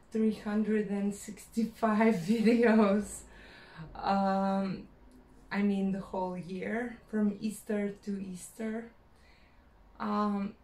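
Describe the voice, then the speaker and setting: A woman from Croatia with a Croatian accent, speaking English as a second language in a personal vlog, pausing often and searching for words. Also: mid-range voice, mellow, roomy sound. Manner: hesitant, many fillers, searching for words. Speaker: vlogger.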